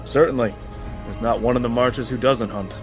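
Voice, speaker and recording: A man's voice: medium pitch, fairly even intonation, normal speed, over music. A man talking casually as a tabletop game player, with a dry, wry manner.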